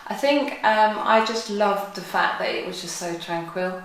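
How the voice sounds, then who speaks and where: A woman's voice: medium pitch, normal speed. Chatty middle-aged woman, echoey room. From North London, England. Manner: chatty, warm, laughs often. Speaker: guest. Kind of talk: casual interview chat.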